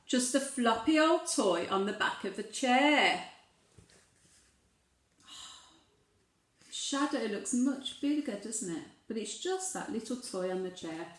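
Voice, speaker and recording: A woman reading a storybook expressively to young children, pausing to put gentle questions to the listeners. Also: medium pitch, animated, echoey room.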